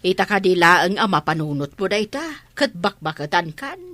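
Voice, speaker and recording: A woman's voice: lowish voice, very animated, normal speed, sharp tone, dry recording, exaggerated voice. A woman playing a middle-aged, scolding mother in a radio comedy-drama, nagging and reproachful, with an Ilocano Filipino accent. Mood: exasperated, reproachful. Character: scolding, exasperated older mother of grown children.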